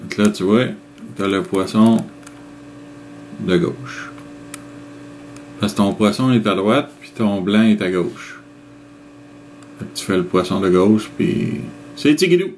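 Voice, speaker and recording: A middle-aged man with a Québécois French accent reads out viewer comments and answers them in a relaxed, chuckling way, explaining things step by step. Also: on the low side, takes it slowly, pauses often, a little hiss or hum. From Quebec, Canada. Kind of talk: casual chat reading out comments.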